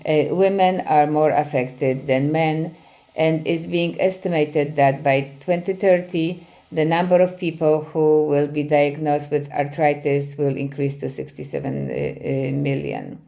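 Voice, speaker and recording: A middle-aged woman from Poland with a Polish accent, giving a conference presentation as a physical therapy professor, often pausing with "uh" fillers. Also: sits low, mellow. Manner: frequent hesitations with many "uh" fillers.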